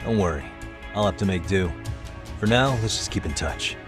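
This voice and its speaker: A man's voice: low-pitched, moderate pace, over music, close to an everyday voice. A man playing a cool, persistent private detective in a video game cutscene, casual, composed and probing.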